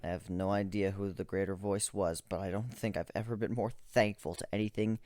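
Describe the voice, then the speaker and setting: A man narrates a story in the first person with dramatic delivery. His voice sits fairly low, and it is recorded close and dry, with little room sound. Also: steady tone, brisk, a little mellow, dry recording, faint music behind.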